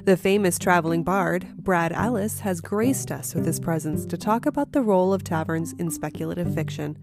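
A woman's voice: lowish voice, moderate pace, vocal fry, over music. A woman hosting a podcast, moving between scripted introduction and casual chat. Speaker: podcast host.

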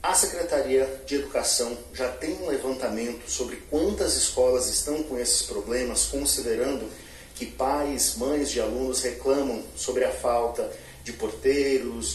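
A man's voice: medium pitch, distant mic. A man with a Bahian Brazilian accent, a TV news reporter posing formal, pressing questions during a live interview with a handheld mic. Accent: Brazilian Portuguese, Bahia. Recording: echoey room, off-mic, faint noise.

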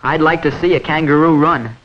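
Man playing a curious visitor, higher-pitched, old film. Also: brisk, lightly acted. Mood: eager. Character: curious visitor asking simple questions about Australia. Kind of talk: 1940s scripted educational film dialogue. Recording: dull vintage 1940s film soundtrack.

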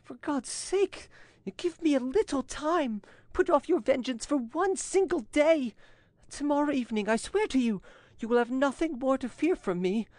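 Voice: sits high, animated, normal speed, a little mellow, no echo, pitched above the performer's own voice. A narrator voicing a frightened young Frenchwoman who pleads with theatrical, imploring urgency in an audiobook story. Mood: frightened pleading.

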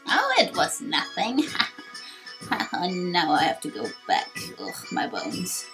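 A woman voicing an elderly, wistful tortoise creature from a video game, halting and drawn-out in speech. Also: lowish voice, wildly swooping pitch, music in the background, voice pushed down, rough recording.

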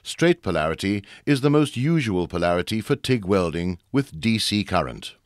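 A man with a fairly low voice narrates technical training material. His delivery is formal and measured, yet the pitch moves widely from phrase to phrase. The sound is close and dry, like a studio voice-over. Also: sing-song, dry recording. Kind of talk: scripted instructional narration.

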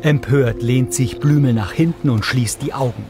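A man's voice: medium pitch, moderate pace, music in the background. A man reading scripted audio description narration for a film.

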